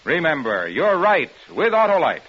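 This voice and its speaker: A man's voice: on the high side, huge pitch swings, normal speed, over loud music. A man announcing in polished, emphatic 1940s radio style. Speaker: radio announcer and commercial pitchman. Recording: dull old radio recording, faint hiss.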